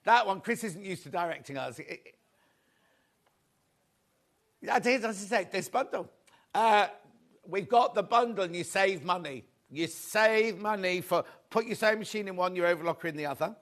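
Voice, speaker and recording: A man presenting a live shopping show, rambling through a chatty sales patter with a slightly croaky voice. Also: sits high, expressive, moderate pace, fairly bright tone.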